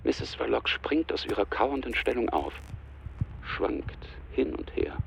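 A man with a gravelly voice narrating a radio drama in a measured, detached way, scene by scene. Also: mid-range voice, sing-song, fairly bright tone, slight background noise.

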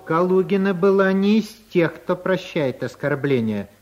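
An actor playing a wry storyteller, giving measured scripted narration for a radio comedy play. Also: male voice, sits high, expressive, a little mellow. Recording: dull, muffled old radio recording.

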